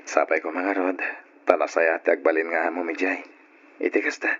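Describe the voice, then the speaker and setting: A deep reassuring husband. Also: male voice, brisk, breathy edge. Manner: calm, reassuring. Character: husband and father, steady and reassuring. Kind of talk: old radio drama acting. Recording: muffled old radio recording.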